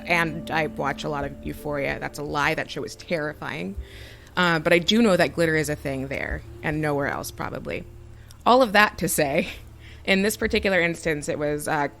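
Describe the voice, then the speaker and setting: A woman's voice: sits low, creaky, a bit bright. A woman chatting casually as a podcast panelist, with wry, self-correcting asides. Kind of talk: casual chat. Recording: music playing behind the voice.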